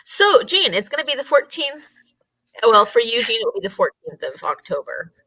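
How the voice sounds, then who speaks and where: A woman's voice: on the high side, big pitch swings, normal speed, pushed and bright, no echo, low-quality recording. A woman chatting casually and informally as co-host of a group livestream, talking into a close mic on a call.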